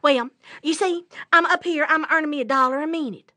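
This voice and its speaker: A woman voicing a wily, fast-talking male trickster rabbit in a folk tale, excitable and folksy. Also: high voice, no room sound, pitched above the performer's own voice. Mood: bragging. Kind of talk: animated folk-tale storytelling.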